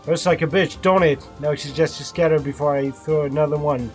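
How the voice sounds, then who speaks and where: A man doing a gruff, fierce old grandmother. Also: fairly high pitch, steady tone, moderate pace, darkish tone, faint music behind, lightly acted. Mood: angry gruff. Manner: growling, coarse, blunt.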